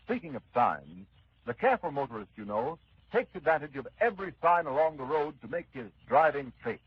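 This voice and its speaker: A man's voice: a little high, big pitch swings, fairly quick, husky, no room sound. A man working as a 1930s radio announcer, reading advertising copy with emphatic, polished delivery. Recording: muffled 1930s radio recording.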